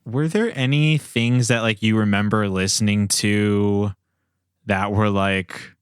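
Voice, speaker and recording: A man with a lowish voice hosts a casual podcast chat. He is conversational and quick to react, with loose informal phrasing. The recording is close and dry. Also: steady tone, smooth, dry recording. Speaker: podcast host.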